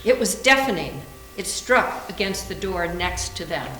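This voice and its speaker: A woman's voice: on the low side, lively intonation, unhurried, a bit bright. A woman reading scripted narration for a readers theater ghost story. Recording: echoey room, far from mic, faint noise.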